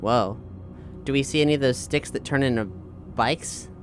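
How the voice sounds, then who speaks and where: A woman's voice: extremely low pitch, moderate pace. A woman playing a tabletop game, chatting casually in character, stammering and repeating her phrases. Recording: faint music behind voice. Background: faint music.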